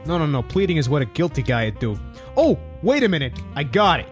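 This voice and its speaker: A man's voice: on the high side, big pitch swings, fast talker, faint music behind. A man voicing a cocky young wise guy convict, slangy and griping, in a comic dub.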